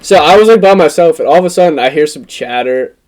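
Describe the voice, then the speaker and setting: A young American man telling stories in a rambling, animated, swearing way as a podcast co-host in casual chat. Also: on the high side, fast, a crowd in the background. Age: young adult. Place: in person with co-hosts.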